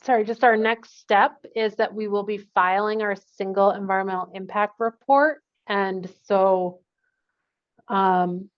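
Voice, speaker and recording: A woman's voice: mid-range voice, fairly even intonation, a little slow. A woman presenting a project at a public meeting, talking in a casual, friendly way. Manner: casual and friendly, plain conversational phrasing. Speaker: project consultant presenting to a commission. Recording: dull lo-fi meeting recording.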